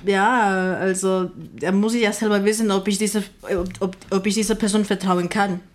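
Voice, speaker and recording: A woman's voice: mid-range voice, level tone, moderate pace. Texan woman speaking hesitant German. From Houston, Texas, USA. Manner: non-native German with grammar slips and many fillers. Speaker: panel guest. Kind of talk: casual radio panel chat.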